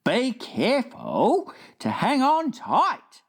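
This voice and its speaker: A woman voicing a big, gentle, friendly male giant who talks in drawn-out, folksy, mangled grammar. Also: fairly high pitch, wildly swooping pitch, slightly rough, voice pushed up, exaggerated voice. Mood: cautioning.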